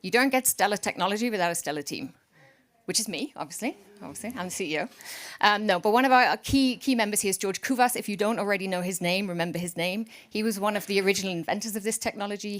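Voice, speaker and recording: A woman chief executive giving an energetic, joking startup pitch to investors from a conference stage.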